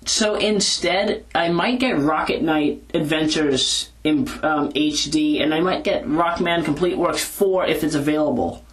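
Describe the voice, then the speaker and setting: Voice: mid-range voice, measured pace, hardly any pauses. An informal audio blogger with a mid-range voice talks off the cuff into a handheld recorder. The delivery rambles and hesitates, with frequent self-corrections, in the manner of a casual personal log. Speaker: video blogger. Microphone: handheld audio recorder moved back and forth.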